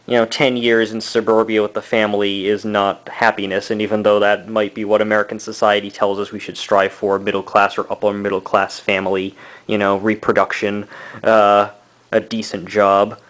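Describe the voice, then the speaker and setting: A man in his thirties giving a casual, rambling review, halting often with fillers and short laughs. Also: lowish voice, completely flat delivery, a bit bright. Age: 30s. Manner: laughs between thoughts. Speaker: book and comic reviewer.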